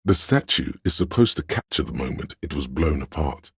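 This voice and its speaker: Erudite male inner voice, extremely low pitch, dry close sound, grandiloquent, wry, measured video game narration. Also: expressive, fairly bright tone, breathy edge, no room sound, exaggerated voice. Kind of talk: scripted game narration, lecturing.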